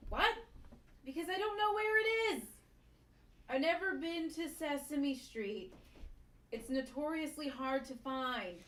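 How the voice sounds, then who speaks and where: A woman's voice: high voice, expressive, takes it slowly, pauses often, fairly bright tone, exaggerated voice. A woman playing a blunt, playful, encouraging office worker at a sitcom table read. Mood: wry, teasing. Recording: roomy, distant mic with background noise.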